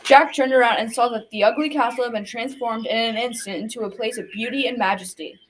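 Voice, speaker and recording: A woman's voice: on the high side, fairly even intonation, fairly quick, lightly acted. A school-age girl playing a folksy narrator, reading a scripted folktale in an amateur radio drama. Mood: wondering. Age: child about 12 to 13. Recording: distant mic, faint noise.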